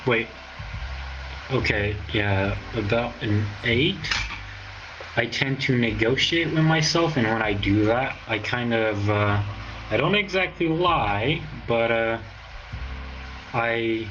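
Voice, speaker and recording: A man's voice: medium pitch, slow pace, long pauses, dull audio, noisy background. A man in his twenties from Portugal with a Portuguese accent, a guest in a casual interview, hesitant and full of fillers. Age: 20s. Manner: hesitant, many fillers and restarts.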